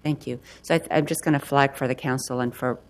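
A council member asking questions and giving remarks at a hearing in a measured way. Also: mid-range voice, fairly even intonation.

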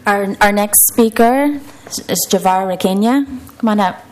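A woman's voice: mid-range voice, measured pace, a little mellow, a little hiss or hum. A woman hosting an event, introducing a speaker from notes. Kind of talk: speaker introduction, partly read.

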